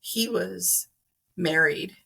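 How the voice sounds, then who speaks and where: Middle-aged woman, podcast guest, slightly low pitch, gravelly edge, earnest, emphatic, conversational explaining. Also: very slow, sharp tone. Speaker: podcast guest, energy healer.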